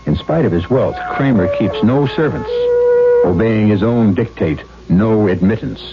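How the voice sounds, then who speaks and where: A man narrating a 1970s radio mystery drama in a measured, portentous manner, as a grave storyteller. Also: on the low side. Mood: ominous, dramatic. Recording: dull 1970s radio broadcast, music behind.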